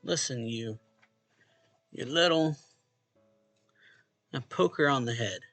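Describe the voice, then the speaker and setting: Male let's play host, casual visual novel read-aloud, mid-pitched with some fry, game music underneath. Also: takes it slowly, a bit bright, dry recording. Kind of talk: casual read-aloud of game dialogue and first-person narration.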